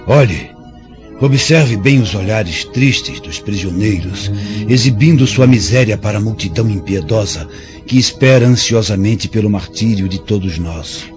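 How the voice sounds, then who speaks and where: Male actor as defiant doomed captive: lowish voice, Brazilian accent, indignant declamation, dull radio-play sound over music. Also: a little slow, lightly acted. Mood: bitter indignation. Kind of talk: radio drama acting in a historical serial.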